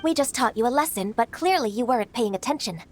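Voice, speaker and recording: An actress playing an earnest young female official in a video game, speaking in a formal, measured and courteous manner. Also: on the high side, lively intonation, slight background noise, natural voice. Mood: stern, composed. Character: polite, solemn, earnest young official woman.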